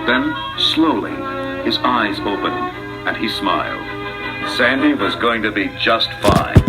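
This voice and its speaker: A man reading a children's adventure storybook as narrator, in a dramatic, theatrical, measured way. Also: fairly low pitch, sing-song, over loud music, rough recording.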